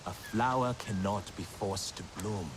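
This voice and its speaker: A man playing a grave, resolute alien clan warrior in a video game, speaking in a solemn, measured way. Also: on the low side, noisy background, lightly acted. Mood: calm, wise. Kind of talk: cinematic video game acting.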